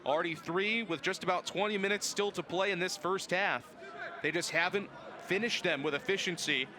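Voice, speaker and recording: High-pitched young male play-by-play announcer. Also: moderate pace, pushed and bright. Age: early 20s. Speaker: sports play-by-play announcer and host. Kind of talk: live sports play-by-play and show openings.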